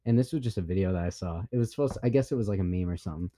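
A man's voice: low voice, rapid-fire, mellow. A young man hosting a casual podcast, joking crudely and laughing often into a studio mic. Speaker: podcast host. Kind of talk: casual chat. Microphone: studio mic on a deadener.

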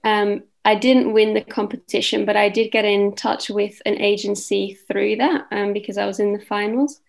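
A Dutch-born woman in her twenties, with a mixed Australian and London accent, chatting relaxed as a podcast guest with many ums. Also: mid-range voice, moderate pace, vocal fry. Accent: Australian-English mix. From Netherlands. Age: 20s. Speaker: guest model. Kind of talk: casual interview chat.

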